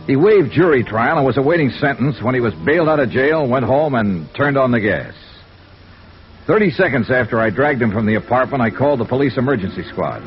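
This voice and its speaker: A man's voice: mid-range voice, natural voice. An American man acting a world-weary, wry insurance investigator in a 1950s radio detective drama, with clipped delivery. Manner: clipped, wry, hard-boiled. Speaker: lead actor and narrator. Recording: dull old radio broadcast with music.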